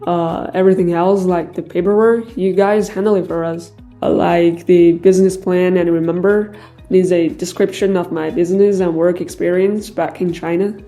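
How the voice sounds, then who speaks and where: Calm hesitant client guy, says uh a lot, woman dubbing him, lowish mellow voice. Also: normal speed, close to an everyday voice. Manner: hesitant, frequent "uh" fillers. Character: middle-aged male immigrant client, calm businessman. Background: faint background music.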